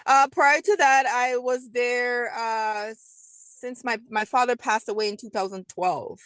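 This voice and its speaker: Soft-spoken woman from Guyana in her fifties. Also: a little high, steady tone, measured pace, dry recording. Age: 50s. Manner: soft-spoken, hesitant with frequent ums. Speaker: guest. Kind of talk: casual interview talk.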